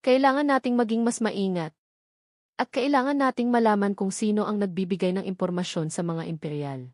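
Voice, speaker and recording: A woman's voice: mid-range voice, fairly quick, darkish tone, dry recording, studio quality, close to an everyday voice. A woman with a Filipino accent voicing a cold, guarded female villain, a scheming boss in an audio drama. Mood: cold, suspicious.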